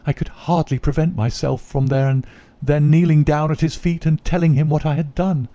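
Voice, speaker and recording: A troubled young noblewoman, read by a man confides her secret earnestly. The voice is slightly high and mellow. It is recorded close and dry. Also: steady tone, moderate pace, dry recording, lightly acted. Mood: remorseful. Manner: earnest, confessional.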